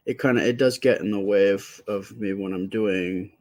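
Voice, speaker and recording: Soft-spoken deep-voiced man, close mic. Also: steady tone. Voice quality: quiet, soft voice. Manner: rambling, halting, with many fillers and restarts. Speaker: artist live-streaming while drawing. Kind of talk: casual live-stream chat with viewers. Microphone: close to the microphone.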